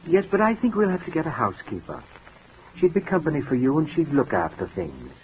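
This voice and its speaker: A dull, faintly hissy 1940s radio recording carries a moody gentleman composer. He is measured and solicitous with a sinister undertow, and his pitch moves in wide, dramatic swings. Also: wildly swooping pitch. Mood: calmly persuasive. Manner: measured, coaxing, outwardly solicitous. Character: smooth, moody composer brother, quietly sinister killer. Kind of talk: 1940s radio mystery acting.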